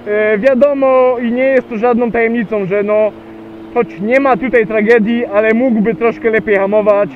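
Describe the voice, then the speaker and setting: A man's voice: extremely high pitch, fairly even intonation, moderate pace. A man riding a motorcycle, chatting casually to viewers with many hesitations and fillers. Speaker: motovlogger. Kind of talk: casual riding vlog narration. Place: on a motorcycle riding on a road outdoors. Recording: tinny lo-fi helmet mic with wind and engine noise.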